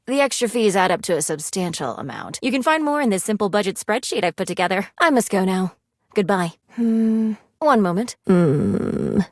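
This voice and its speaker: A woman's voice: medium pitch, animated, normal speed, no room sound, clean studio sound, natural voice. A woman playing a video game character, prim and aloof money personified as a stern financial advisor, speaking in a formal, clipped, precise way. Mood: brisk, formal.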